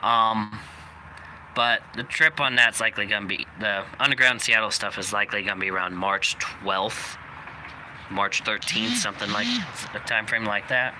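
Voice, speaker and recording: A young man hosting a channel, giving a rambling casual update with many fillers, his voice slightly raspy from coughing. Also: lowish voice. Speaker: channel host. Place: outdoors, people walking by. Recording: noisy outdoor recording.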